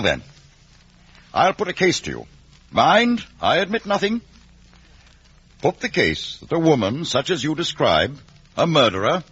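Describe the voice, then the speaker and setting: A shrewd middle-aged lawyer in a vintage radio play. He is guarded and commanding, with a husky voice and very lively, deliberate emphasis. The sound is dry and muffled. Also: mid-range voice, no room sound, exaggerated voice. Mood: guarded, insistent. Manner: emphatic, deliberate cross-examining. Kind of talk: 1950s radio drama acting. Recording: dull, roomless old radio recording.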